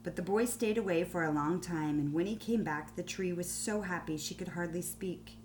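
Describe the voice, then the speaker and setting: A woman teacher reading a children's story aloud, deliberate and expressive, with pauses between phrases. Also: lowish voice, slight background noise.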